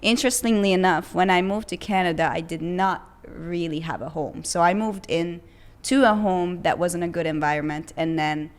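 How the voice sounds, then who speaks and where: Woman, lower-pitched, earnest and reflective, podcast guest. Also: normal speed. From Hungary. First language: Hungarian. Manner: reflective, earnest, with frequent fillers and restarts. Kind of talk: casual interview chat.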